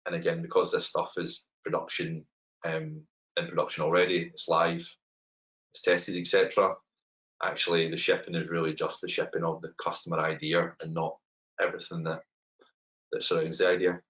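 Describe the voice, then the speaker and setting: A middle-aged man with a Glaswegian accent gives a webinar talk over a video call from a room at home, relaxed and conversational with many ums and repeated words. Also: low-pitched, moderate pace. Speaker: head of open banking technology, webinar speaker. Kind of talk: webinar presentation. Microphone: webcam on a video call.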